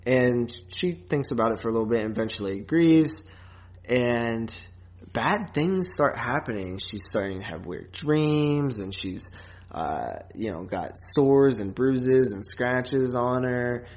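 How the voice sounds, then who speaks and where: A man's voice: medium pitch, lively intonation, unhurried. A man co-hosting a podcast, casually reviewing a film in a rambling, loose way with plenty of filler and some swearing. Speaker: podcast co-host.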